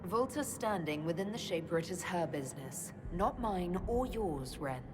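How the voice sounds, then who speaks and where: A woman's voice: fairly low pitch, animated, normal speed, noisy background, natural voice. A woman playing a composed, probing expedition leader in a video game, with a direct, authoritative manner. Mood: firm. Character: composed, probing heroine leading a fantasy expedition. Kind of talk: video game cutscene acting.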